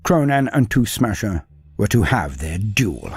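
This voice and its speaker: A man's voice: fairly low pitch, big pitch swings, a little slow, slightly rough. A man narrating a fan-made war story in dramatic storytelling fashion. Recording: dry close mic with faint music.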